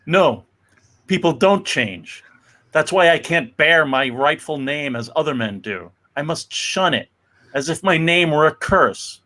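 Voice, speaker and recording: A man's voice: fairly high pitch, animated, unhurried, sharp tone. A man playing a courteous, weary middle-aged shopkeeper father, mild and placating, in a dramatic comic-book reading. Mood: wounded.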